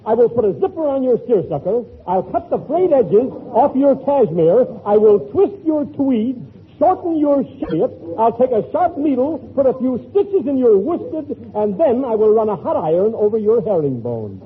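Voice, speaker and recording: A man playing a pompous, excitable professor with flowery, insulting talk in 1940s radio comedy. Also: very high voice, normal speed, hardly any pauses. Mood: pompous, brisk. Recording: scratchy, tinny 1940s radio broadcast.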